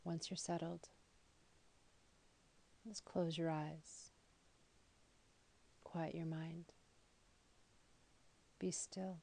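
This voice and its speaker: A woman leading a guided meditation in a soothing, deliberate manner, with phrases that trail off softly. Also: sits low, level tone. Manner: soothing, slow and deliberate with soft, breathy phrase endings. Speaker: yoga nidra guide.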